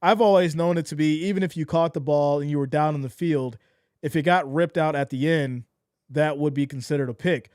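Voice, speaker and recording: Hoarse male fan commentator, high-ish voice, close dry mic, emotional live play calls. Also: fairly quick, no echo. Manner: excitable, swings from shouting to deflated mutters. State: losing his voice. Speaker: streamer doing play-by-play.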